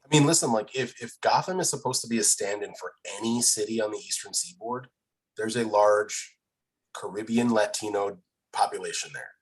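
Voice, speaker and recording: A man's voice: lowish voice, normal speed, husky, poor audio. A man chatting as a podcast guest in an informal, opinionated way, blunt and emphatic about his points. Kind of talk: casual opinionated chat.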